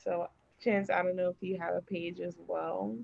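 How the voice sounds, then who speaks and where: A woman's voice: lowish voice, level tone, normal speed, gravelly, darkish tone, dull audio. A woman chatting casually and earnestly as an encouraging podcast guest. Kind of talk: casual chat. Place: remote group call.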